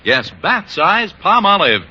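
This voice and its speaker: Punchy, very animated American radio announcer, male. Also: sits high, takes it slowly, slightly rough. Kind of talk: 1940s-1950s radio commercial reading. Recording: muffled old radio broadcast.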